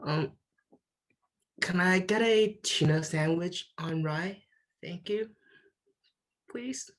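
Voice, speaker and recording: A woman playing a nervous sandwich shop customer in a student radio play, halting and full of ums. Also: lowish voice.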